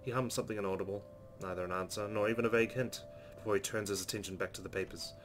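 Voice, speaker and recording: Fast-talking, low-voiced male narrator. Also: steady tone. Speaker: let's player reading a visual novel aloud. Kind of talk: dramatic reading of first-person narration. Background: soft game music.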